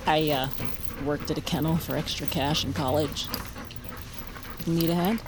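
A woman's voice: deep voice, steady tone, unhurried, heavy background noise. An actress playing a haunted, determined mother in an audio drama, earnest and emotional. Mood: subdued. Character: haunted, determined mother, desperate yet defiant. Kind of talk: audio fiction acting with first-person narration. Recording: music behind the voice.

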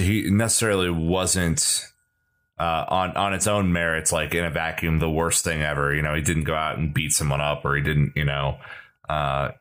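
A man's voice: extremely low pitch, fairly bright tone. A man hosting a football fan podcast, talking in an emphatic, opinionated, conversational way. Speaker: podcast host. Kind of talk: casual fan podcast chat.

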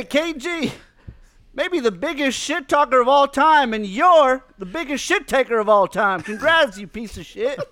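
A man's voice: extremely high pitch, a bit bright, heavily acted. A man voicing a laid-back Southern male comic with a put-on Southern accent, roasting with teasing jokes. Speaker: comedy podcast co-host. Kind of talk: mock roast comedy bit.

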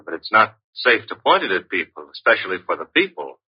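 Husky, wisecracking radio detective's sidekick. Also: male voice, on the low side, sing-song, fairly quick, exaggerated voice. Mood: wry. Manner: breezy, wry banter. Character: wisecracking, flirtatious male private detective's assistant. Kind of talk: old-time radio detective acting. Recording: dull, muffled vintage radio recording.

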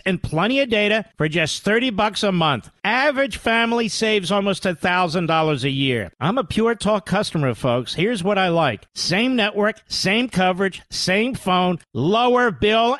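A man's voice: high-pitched, fairly bright tone, no room sound. A middle-aged man hosting a talk radio show, speaking emphatically, running an interview and reading ads. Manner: emphatic, salesman-like. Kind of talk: interview and ad read.

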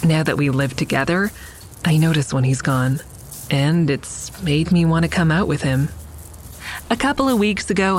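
A woman's voice: on the low side, close to an everyday voice, poor audio. An actress playing a curious young woman who narrates her story in an intimate, wry way in an audio drama. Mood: confiding. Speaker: narrator and lead character. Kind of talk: audio drama first-person narration and natural dialogue. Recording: faint music behind the voice.

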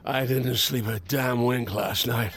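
Man playing a slow-talking, cantankerous older man. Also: mid-range voice, steady tone, background noise, natural voice. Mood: grumpy, wry. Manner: unhurried, wry, curt. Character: cocky, cantankerous older man with sharp wits. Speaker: actor in audio fiction. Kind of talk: audio drama acting.

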